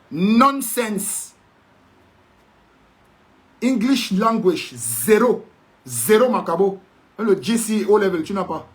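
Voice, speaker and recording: Cameroonian guy ranting on video, high-pitched, sarcastic and fed up, tosses in english jabs. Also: a bit bright. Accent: Cameroonian French. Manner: indignant, sarcastic, preachy; mixes in English mockingly. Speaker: commentator.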